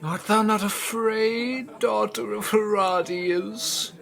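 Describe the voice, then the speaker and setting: Very slow, wrathful prophet. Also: male voice, sits high, fairly even intonation, vocal fry, fairly bright tone, exaggerated voice. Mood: foreboding. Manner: solemn, declamatory. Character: stern, wrathful male prophet, severe and doom-laden. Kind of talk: theatrical audio drama acting.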